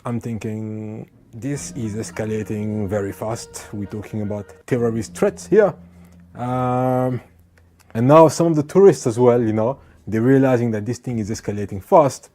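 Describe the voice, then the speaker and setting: A man born in France recounting a run-in with police in a conversational, animated way, full of asides to the listener. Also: mid-range voice, moderate pace. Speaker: vlogger. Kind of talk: storytelling to camera.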